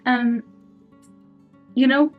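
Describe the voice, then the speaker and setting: A woman's voice: high-pitched, fairly even intonation, very slow, phone-quality sound. A young woman, chatting casually to camera as a book vlogger, musing indecisively and laughing often. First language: Portuguese. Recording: faint guitar music behind.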